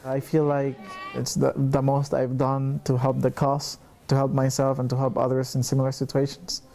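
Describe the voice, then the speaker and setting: Young Salvadoran-born man, mellow voice. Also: mid-range voice, monotone, normal speed, vocal fry, a little hiss or hum. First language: Spanish. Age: 20s. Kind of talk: interview.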